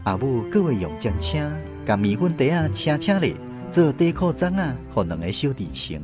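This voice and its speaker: A man's voice: mid-range voice, very animated, moderate pace, lightly acted. A man playing a son who looks back on his poor childhood, narrating a radio drama with a Taiwanese accent. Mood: nostalgic. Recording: music under the voice.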